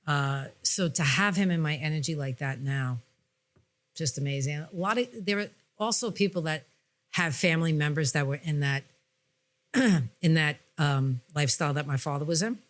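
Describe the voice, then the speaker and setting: A woman's voice: deep voice, moderate pace. A woman hosting a podcast as a psychic medium, talking candidly and emphatically in plain, casual words with some swearing. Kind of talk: candid conversational podcast talk.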